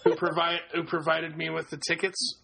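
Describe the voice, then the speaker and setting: Gravelly bright-voiced man chatting. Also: a little high, monotone, normal speed, slight background noise, rough recording. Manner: laughs while talking, stumbles on words. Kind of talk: casual podcast chat.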